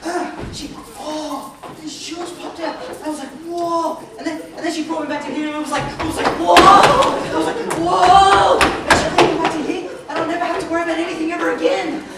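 A woman playing an excitable young hero in an amateur stage pantomime, gushing and eager. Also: very high-pitched, a little fast, few pauses. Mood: excited. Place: large theatre stage. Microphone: far camera. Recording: echoey hall from far off, loud noise.

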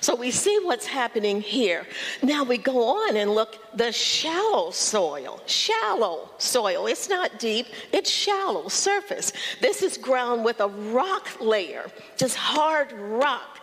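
An older woman preaching a sermon from a church pulpit in a measured, conversational way. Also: a little high, sing-song, a bit bright. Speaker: guest preacher. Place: large church sanctuary. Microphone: headset mic. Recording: long reverb of a church hall.

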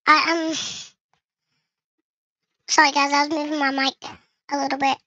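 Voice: sits high, little pitch movement, moderate pace, pushed and bright, dry recording, highs cut off. A young gamer giving casual gameplay commentary, with the voice run through a voice changer. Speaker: gaming video creator.

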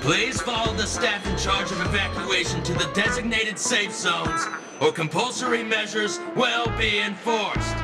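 A man's voice: sits high, a little slow. A man voicing a brash, officious corporate security team leader in a game, barking out orders. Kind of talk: game voice acting. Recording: loud music under the voice.

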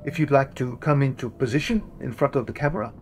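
An actor playing a polite photographer who stumbles over a customer's name. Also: male voice, mid-range voice, fast talker, a little mellow, natural voice. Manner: polite, a little awkward. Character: polite male studio photographer.

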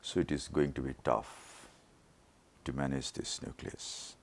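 A man narrating his own eye surgery as a teaching lesson, his voice rough from a throat infection, explaining each step in a measured way. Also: extremely low pitch, steady tone, a little hiss or hum. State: recovering from a throat infection, voice not normal. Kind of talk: slow surgical teaching narration.

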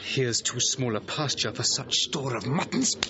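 An actor playing a lovesick, witty young gentleman in a Shakespearean audio drama, with a theatrical, declamatory delivery. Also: mid-range voice, lively intonation, a little slow, dull audio, a crowd in the background. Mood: bantering.

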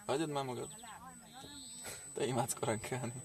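A man's voice: mid-range voice, steady tone. A man in his twenties chatting casually as a vlogger in a car, recorded on a phone at arm's length. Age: 20s. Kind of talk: casual chat. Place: inside a parked car.

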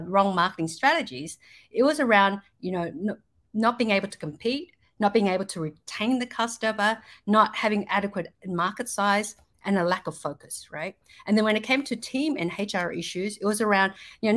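A middle-aged woman with a medium-pitched voice gives an online business presentation. She explains things step by step in a conversational way, often adding little check-ins to the listener. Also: fairly bright tone. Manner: explanatory, checking in with "right?" and "you know". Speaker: startup marketing speaker. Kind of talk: slide presentation to an online audience. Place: indoors, on a video call.

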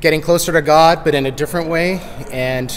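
A man's voice: a little high, steady tone, a little fast. Sincere guy, mid voice, thoughtful, hallway echo. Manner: reflective, sincere. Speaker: school staff member. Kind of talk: casual interview answer. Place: empty school hallway. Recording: hallway reverb.

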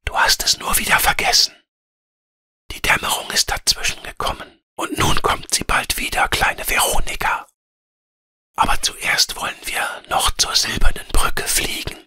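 A man voicing a gently knowing male air spirit in a fairy-tale audiobook, speaking in a soft, breathy whisper. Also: moderate pace, no echo, clean studio sound. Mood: knowing, mysterious.

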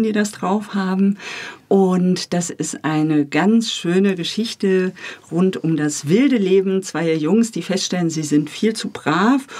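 Woman, interview guest, husky voice, casual conversational delivery, studio microphone. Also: fairly low pitch, a little mellow. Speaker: interview guest, radio editor. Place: recording studio.

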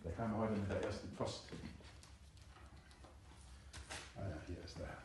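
An actor playing a courteous, composed middle-aged detective in a radio crime drama, speaking in a measured, conversational way. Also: male voice, low voice, a little mellow, a little hiss or hum, lightly acted. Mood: polite, guarded.

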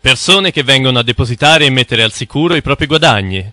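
An actor playing a smug, knowing cartoon guide who lectures in a didactic, impatient manner. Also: male voice, medium pitch, expressive, fast talker, bright, forceful tone, no echo, clean studio sound. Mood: knowing, brisk. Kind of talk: animated cartoon narration and dialogue.

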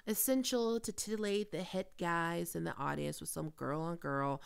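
A woman's voice: on the low side, moderate pace, creaky, clean tone. A woman playing a demanding, sardonic, flirty diva film star in an ASMR roleplay. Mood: wry, reassuring. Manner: sardonic, swearing, teasing.

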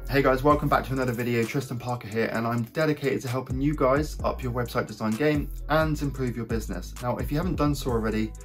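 A man in his thirties with a croaky voice from a recent cold, hosting an upbeat scripted tutorial from a small home office and speaking straight to viewers. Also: medium pitch, fast, few pauses, faint music behind. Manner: upbeat, direct, addresses viewers as guys. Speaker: web design channel host. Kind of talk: scripted talking-head tutorial.

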